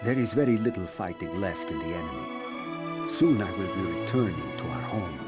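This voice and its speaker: A man voicing a tender, weary Soviet soldier, softly reading his letter home in a war game. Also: deep voice, lively intonation, moderate pace, over loud music. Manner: soft, reflective. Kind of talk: letter read as voice-over.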